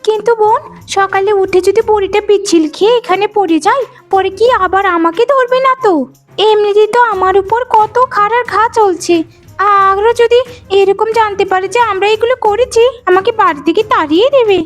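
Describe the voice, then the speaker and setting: Casting: woman for greedy, argumentative young cartoon wife, piping very high voice, complaining manner, faint music behind. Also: a little fast. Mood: anxious, greedy. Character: quarrelsome, greedy young daughter-in-law, whining and resentful. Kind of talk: cartoon moral-story dialogue. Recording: music under the voice.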